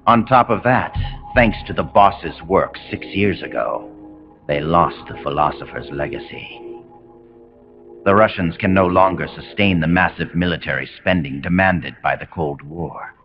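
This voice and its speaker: Cold deep grandiose super soldier villain, measured speech, muffled game audio w loud music behind. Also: male voice. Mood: calm, lecturing. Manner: measured, imperious, philosophical monologuing. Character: cold, commanding male rogue officer, grandiose engineered super-soldier. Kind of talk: dramatic video game voice acting.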